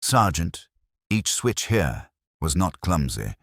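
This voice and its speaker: A man playing a mild, thoughtful police inspector in a mystery story, speaking in a measured, cryptic and formal way. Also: low voice, very animated, a bit bright, no echo, studio quality, heavily acted. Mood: quietly resolute.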